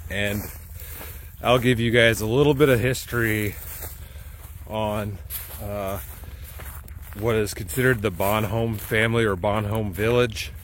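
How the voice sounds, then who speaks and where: A man's voice: lowish voice, unhurried, pauses often. A man casually narrating a road-trip vlog from his truck, rambling with lots of fillers. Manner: relaxed, rambling, many fillers. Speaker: vlogger. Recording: loud road and engine noise. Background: vehicle noise.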